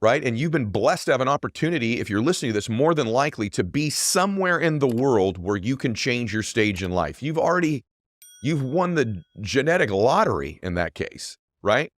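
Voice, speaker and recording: A middle-aged man with a deep voice, hosting a show and giving an emphatic motivational monologue that often asks "right?". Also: very animated, brisk, no room sound. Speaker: podcast host.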